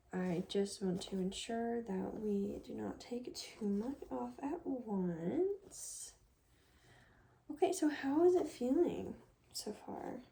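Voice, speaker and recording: Dark-toned, creaky soft-spoken ASMR woman. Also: mid-range voice, slight background noise. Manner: gentle, casual, reassuring chat to a client. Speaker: ASMR creator role-playing a hair stylist.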